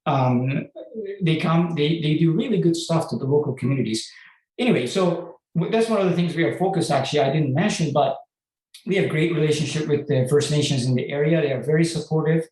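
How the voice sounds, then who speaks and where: A man's voice: fairly high pitch, brisk, a little mellow. A man from Turkey, a company CEO answering conference questions in a hesitant, explanatory way with many restarts. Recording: echoey room.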